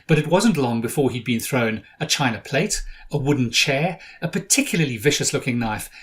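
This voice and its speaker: A middle-aged man from South Africa hosting a podcast, narrating from a script with dry humor. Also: mid-range voice. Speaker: podcast host.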